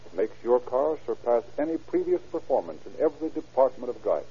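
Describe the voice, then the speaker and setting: A male American announcer in the manner of 1930s radio, presenting as narrator and sponsor's voice. His tone is very dark and very husky, on a muffled vintage recording. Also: mid-range voice, sing-song, a little fast.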